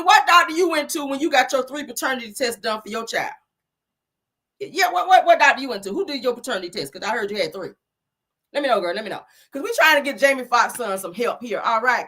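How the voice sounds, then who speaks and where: A woman hosting a celebrity gossip show with sassy, animated commentary, her voice a little sick and deeper than usual. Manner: loud, sassy, calls viewers honey and baby.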